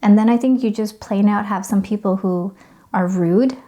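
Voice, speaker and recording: A woman whose mother tongue is Cantonese co-hosts a relaxed conversation. She talks things through reflectively, pausing and restarting as she weighs her words. Her voice sits at an ordinary, middle pitch. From China. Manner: thoughtful, hesitant, reflective. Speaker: podcast co-host. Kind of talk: casual conversational podcast.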